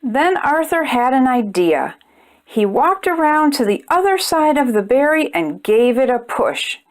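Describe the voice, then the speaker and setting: A woman's voice: high-pitched, big pitch swings, a little slow. A woman hosting a children's storytime, reading aloud expressively and speaking directly to young listeners. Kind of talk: children's read-aloud narration.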